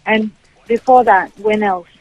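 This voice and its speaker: A woman's voice: medium pitch, fairly even intonation, unhurried, a little hiss or hum. A woman on a phone call, questioning the callers firmly with hesitant, broken phrasing. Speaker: mother receiving a phone call. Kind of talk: phone conversation.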